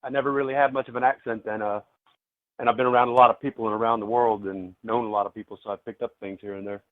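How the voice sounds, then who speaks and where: A man's voice: medium pitch, level tone, racing. A man from South Alabama chatting casually as a radio guest. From South Alabama, United States. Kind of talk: casual chat. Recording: thin phone-like call line.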